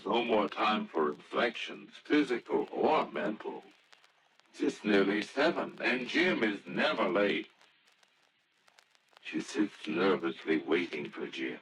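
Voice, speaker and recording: A man narrating a scripted story in a theatrical, deliberate old-time radio style. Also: on the low side, very animated, a bit bright, a little hiss or hum, rough recording.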